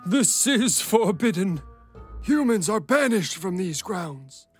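An actor playing a grumpy, imposing old moose councilor who scolds in a stern, formal, accusing way for a children's audio drama. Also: male voice, sits high, big pitch swings, takes it slowly, soft background music, exaggerated voice.

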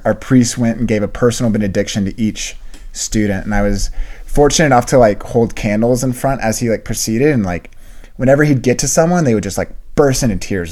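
A man's voice: on the low side, darkish tone. A young man in his twenties, a podcast co-host, telling a personal story earnestly with lots of filler words. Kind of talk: casual chat.

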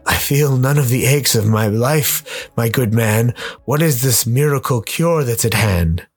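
A man's voice: mid-range voice, animated, unhurried, husky, no echo. A man playing a poor, sickly male vagrant, speaking dramatically in rhyme in a radio play. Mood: amazed.

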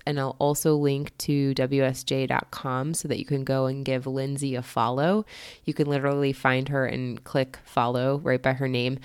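A woman, hosting a solo podcast in a casual, energetic way while sounding hoarse and muffled. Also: rumbling low, no echo, clean studio sound. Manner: energetic, conversational, emphatic. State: hoarse and muffled, recovering from illness. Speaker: podcast host and life coach.

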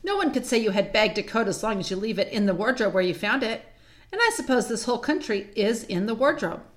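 A narrator voicing a lively, commanding eldest schoolboy. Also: male voice, high-pitched, fast talker. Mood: reasoning. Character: bossy, fair-minded eldest schoolboy brother.

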